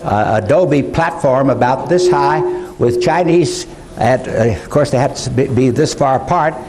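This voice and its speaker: An older man giving a conference lecture, halting, with many fillers and restarts. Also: medium pitch, crowd noise. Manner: slow, halting delivery with frequent fillers. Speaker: conference speaker. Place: conference hall.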